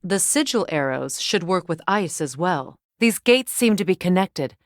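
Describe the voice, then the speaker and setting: A woman playing a guarded, battle-hardened warrior goddess and sorceress in a video game, measured, dry and pointed in her delivery. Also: fairly low pitch, lively intonation, dry recording. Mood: calm, practical. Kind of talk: dramatic video game character acting.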